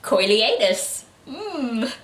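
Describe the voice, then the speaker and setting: A woman chatting casually as a book review host. Her delivery is lively and incredulous, with a very mobile pitch and an informal flow. The room adds noticeable echo around her voice. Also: takes it slowly. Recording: roomy, echoey room sound.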